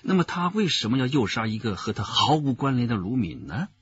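An actor playing a seasoned police detective in a radio drama, explaining with deliberate authority. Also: male voice, mid-range voice, big pitch swings, a bit bright, slightly rough, full character voice. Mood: analytical, grave. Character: seasoned male police detective leading case analysis. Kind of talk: Chinese radio drama acting. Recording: muffled radio drama recording.

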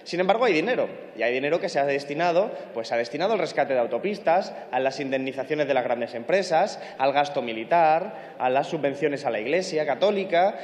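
A young man speaking at a lectern in a large parliament chamber, arguing forcefully and stacking lists for emphasis into a lectern microphone. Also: a little high, expressive, brisk, few pauses. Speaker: member of parliament. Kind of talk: parliamentary speech. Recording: echoey chamber with long reverb.

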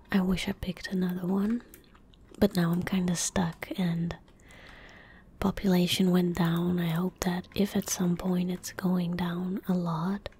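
A young woman giving soft-spoken ASMR gaming commentary, hushed, gentle and whispery, close to the microphone. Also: lowish voice, a little hiss or hum. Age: young adult. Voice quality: breathy, whispery. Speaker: ASMR streamer playing a game. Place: indoor gaming room at home. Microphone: close desk microphone.

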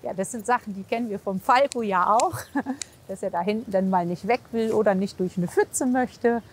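A woman's voice: medium pitch, normal speed, a little hiss or hum. A woman speaking casually and reassuringly as a horse physiotherapist, coaching and commenting. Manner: encouraging, reassuring, with frequent praise. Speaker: horse physiotherapist assessing horse and rider.

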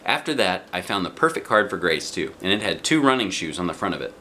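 Animated man reading a children's book aloud. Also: sits low, quick pace. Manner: theatrical, playful emphasis. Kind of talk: expressive read-aloud narration. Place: small living room, indoors. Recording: faint background room noise.

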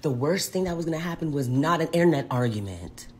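A woman's voice: low-pitched, lively intonation, quick pace, a little mellow, soft background music. Emphatic woman scolding into a phone. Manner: scolding, emphatic, rising and falling sharply. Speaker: social media commentator. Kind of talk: direct-to-camera rant. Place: indoors at home, selfie video. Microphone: phone camera at arm's length.

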